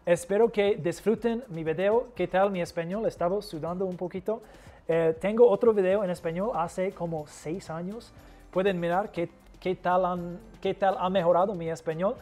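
A man in his forties, a native English speaker with an accent in Spanish, giving instructional advice in halting, self-correcting phrases. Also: high voice, steady tone, mellow, soft background music. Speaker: host giving tips to rental hosts. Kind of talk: instructional talk to camera. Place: furnished living room, indoors. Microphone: lapel mic.

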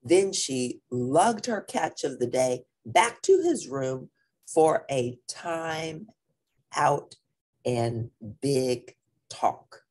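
Slow, very expressive woman storyteller. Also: very deep voice. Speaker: storybook reader. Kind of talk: expressive read-aloud narration for children.

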